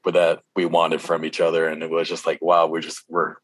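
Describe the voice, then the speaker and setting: A man's voice: a bass voice, completely flat delivery, a little fast, breathy edge, dry recording. A man chatting casually as an interview guest, reminiscing in a rambling, reflective way.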